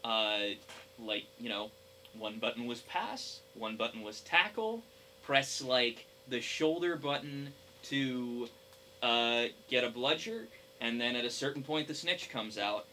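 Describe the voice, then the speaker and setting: A young man co-hosting a casual gaming discussion, speaking in a hesitant, halting way. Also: mid-range voice, lively intonation, bright, forceful tone, slight background noise. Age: young adult. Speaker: podcast co-host.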